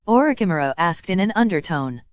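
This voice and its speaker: Lower-pitched woman reading a story, dry sound. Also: sing-song, fairly quick, no room sound, highs cut off. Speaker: audiobook reader of fan fiction. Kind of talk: scripted story narration.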